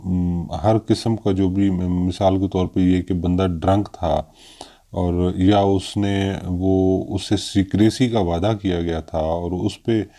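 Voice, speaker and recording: Deep-voiced Pakistani man, slow and halting. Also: flat delivery, dark tone. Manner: halting, with frequent hesitations. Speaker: law lecturer. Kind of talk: explanatory legal lecture, mixing in English terms.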